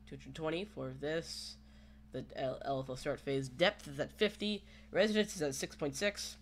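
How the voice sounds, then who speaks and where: A man with a slightly high voice walks through a casual screen-recorded tutorial. He is hesitant and rambles as he goes. A faint layer of background noise sits under the home recording. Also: a bit bright. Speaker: tutorial host.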